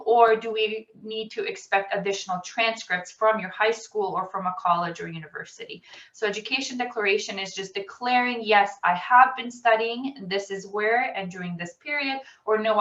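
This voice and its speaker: A woman's voice: medium pitch, bright, forceful tone. A woman admissions officer explaining rules methodically in a webinar, with frequent ums, over a video call. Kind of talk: webinar presentation. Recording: roomy, distant, dull video-call audio.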